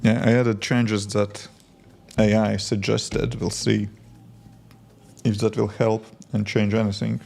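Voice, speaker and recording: Gravelly Latvian-accented man. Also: fairly low pitch, faint music behind. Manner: relaxed, answers chat questions with halting restarts. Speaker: indie app developer streaming. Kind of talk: casual live-stream chat while coding.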